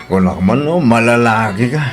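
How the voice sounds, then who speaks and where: A man's voice: mid-range voice, lively intonation, a little slow, close to an everyday voice. A man with an Ilocano accent acting a troubled, weary husband in a radio drama. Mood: tipsy banter. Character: Oliver, troubled husband, weary and later tipsy.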